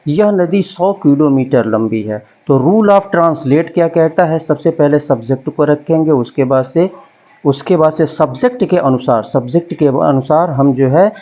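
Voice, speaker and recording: Slow, patient male grammar teacher. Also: on the high side, warm tone. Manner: repeats and spells out each word slowly while writing. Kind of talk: Hindi-medium grammar lesson, slow dictation. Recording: dull lo-fi recording.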